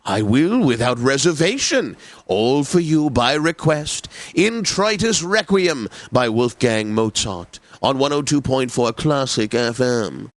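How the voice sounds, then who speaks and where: Casting: smug, effete male classical DJ for a game radio station; unhurried, theatrical diction, dry studio sound. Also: medium pitch, big pitch swings, no echo. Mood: smooth, pompous. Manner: pompous, theatrical, unctuous, precisely enunciated. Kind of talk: scripted classical radio announcing, video game.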